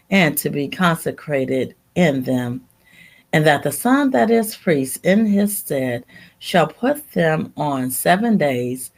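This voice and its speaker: A woman reading scripture aloud in a deliberate, halting way, sometimes repeating a word as she goes. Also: on the low side, creaky. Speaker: Bible reader.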